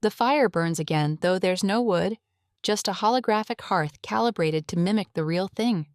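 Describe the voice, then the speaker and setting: A woman narrating a scripted audio drama in a measured, atmospheric storytelling manner. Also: medium pitch, no echo, clean studio sound.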